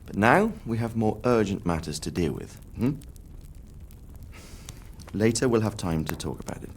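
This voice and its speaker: Game role: lordly father, deep-ish male, animated, caring authority, faint rumble behind. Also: moderate pace. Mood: apologetic. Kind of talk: video game acting.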